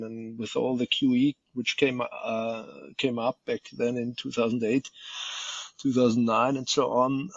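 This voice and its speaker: A man with an Austrian accent, a guest being interviewed, speaking haltingly with many repeated words and fillers. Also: medium pitch, no room sound, highs cut off. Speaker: podcast guest, fund manager. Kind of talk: unscripted interview answer.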